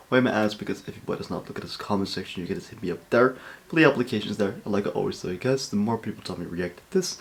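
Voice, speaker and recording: A man hosting a reaction video, talking casually with informal slang and swearing. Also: fairly low pitch.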